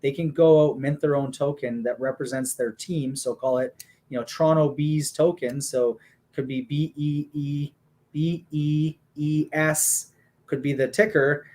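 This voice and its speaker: A man's voice: on the high side, a little slow. Man explaining in easy, filler-laden talk. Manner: steady, explanatory, many fillers like "you know" and "um". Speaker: guest explaining a hockey league business model. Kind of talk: casual conversational explanation.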